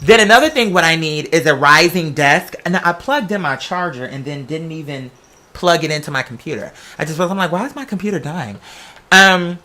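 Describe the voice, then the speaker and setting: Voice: medium pitch, animated, brisk, sharp tone. An American video creator chatting casually and rambling, with a sassy, campy, theatrical way of talking full of drag slang. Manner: rambling, digressive. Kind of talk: casual chatty vlog monologue.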